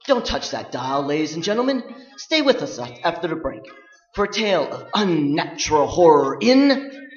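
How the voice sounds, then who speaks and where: Guy doing formal old time radio announcing, animated, muffled echoey sound, bit of music behind. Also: on the high side, moderate pace. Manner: formal, showmanlike. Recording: echoey, dull stage with music.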